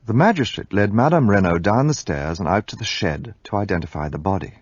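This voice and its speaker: A man's voice: fairly low pitch, lively intonation, fairly quick, breathy edge, no echo, dull audio. An actor voicing an observant Englishman who narrates the story in past tense. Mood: narrating. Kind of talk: radio drama narration.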